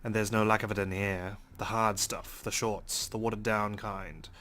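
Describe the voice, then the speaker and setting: A man's voice: fairly low pitch, level tone, moderate pace, a bit bright. A man reading visual novel text aloud as dramatic first-person narration. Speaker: let's play host reading game text aloud.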